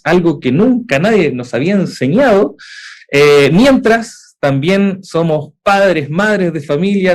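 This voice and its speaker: A young man with a Chilean accent presenting in a webinar, explaining at length with frequent "eh" fillers and rhetorical questions. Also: a little high. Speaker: edtech founder presenting.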